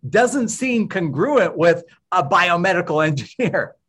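A man's voice: high-pitched, lively intonation, unhurried, a bit bright, breathy edge. Enthusiastic male interview host. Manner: enthusiastic, with fillers and restarts. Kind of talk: warm interview chat.

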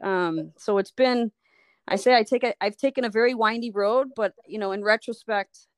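Middle-aged woman from Liberia, physician guest, smooth voice, dry close sound, reflective and halting. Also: medium pitch, dry recording. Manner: thoughtful, pausing often and restarting phrases. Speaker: sports medicine physician, guest. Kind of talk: reflective personal story in an interview.

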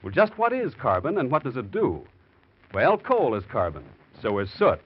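A man announcing on 1940s radio, delivering a polished, emphatic sponsor pitch. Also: wildly swooping pitch, moderate pace, husky. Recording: muffled 1940s radio recording.

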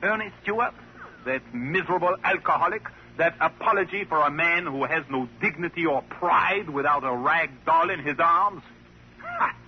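Muffled old radio recording, man acting higher-pitched male magician, vain, scornful, theatrical, formal. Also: moderate pace, a little hiss or hum, lightly acted. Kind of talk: 1950s radio drama acting.

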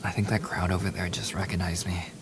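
A man's voice: sits low, quick pace, lightly acted. A man playing a famous young pop idol boyfriend in an ASMR audio roleplay, playful, affectionate and eager, teasing the listener in a casual, intimate way. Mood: hushed, urgent. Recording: steady hiss behind the voice.

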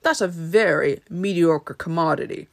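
A woman's voice: lowish voice, measured pace. A woman voicing a grandiose male wizard, a kindly humbug who declaims pompously in a storybook reading. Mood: lofty.

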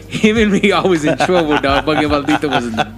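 A man's voice: fairly high pitch, animated, brisk, music in the background. A man who grew up in Texas and spoke Spanish first, co-hosting a casual podcast chat, blunt and profane, laughing often. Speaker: podcast co-host.

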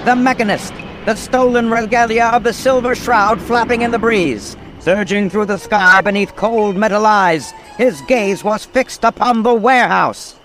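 High-pitched pulp radio announcer. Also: male voice, normal speed, few pauses, fairly bright tone, faint music behind, poor audio. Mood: dramatic. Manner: melodramatic. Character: dramatic pulp radio announcer narrating the action. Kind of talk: old-time radio scripted narration.

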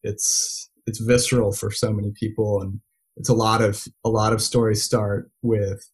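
A man's voice: fairly low pitch, little pitch movement, normal speed, breathy edge. A man telling stories in a casual interview, full of "like" and restarts. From United States and Belgium. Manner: lots of filler likes, restarts. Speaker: interview guest. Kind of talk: casual conversational storytelling.

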